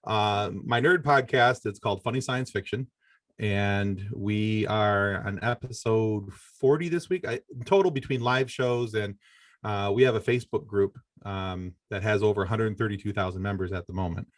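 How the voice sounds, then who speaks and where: Lower-pitched American man, dry close sound. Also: normal speed, no echo. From Michigan, United States. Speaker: podcast guest, fellow podcaster. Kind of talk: casual interview chat.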